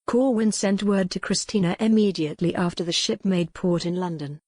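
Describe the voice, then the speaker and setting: A woman's voice: fairly low pitch, normal speed, a little mellow, no room sound. A woman reading scripted narration for a historical romance audiobook.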